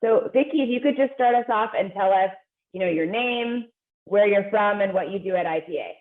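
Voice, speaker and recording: A woman's voice: medium pitch, brisk. A woman hosting an interview over a video call, upbeat and polite, reading prepared questions. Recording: tinny call audio.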